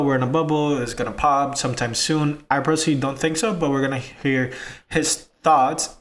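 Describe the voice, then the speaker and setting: Slightly hoarse, chatty man. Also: medium pitch, a bit bright. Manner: chatty, upbeat. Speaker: crypto video host. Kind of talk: casual commentary.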